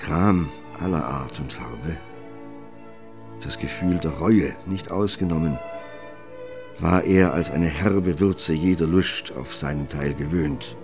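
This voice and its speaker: A man narrating a literary radio drama in a measured, formal manner. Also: a bass voice, over music.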